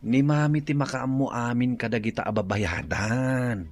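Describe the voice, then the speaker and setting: A man with an Ilocano Filipino accent playing a breezy, scheming adult son and husband in a radio drama, in an animated, expressive manner. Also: medium pitch, normal speed, no echo, lightly acted. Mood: smug.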